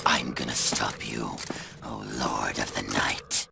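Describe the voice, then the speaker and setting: An actor playing a hardened, world-weary male warrior in a game cutscene, gravelly, cynical and brooding, often close to a whisper. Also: rumbling low, fairly even intonation, background noise, heavily acted. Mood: grim, resolved. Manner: slow, brooding delivery, often dropping near a whisper. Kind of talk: dramatic video game cutscene acting and narration.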